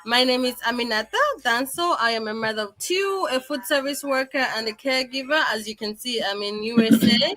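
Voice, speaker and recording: A woman's voice: on the high side, fairly quick, few pauses, a bit bright. A Ugandan woman on a video call, introducing herself in a cheerful way and laughing often. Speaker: guest. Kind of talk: self-introduction.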